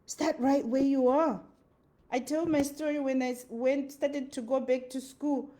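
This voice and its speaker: A woman's voice: sits high, steady tone, measured pace, dark tone. A woman with a Zimbabwean accent giving an earnest motivational talk, repeating her phrases for emphasis. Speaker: motivational speaker. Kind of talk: motivational monologue.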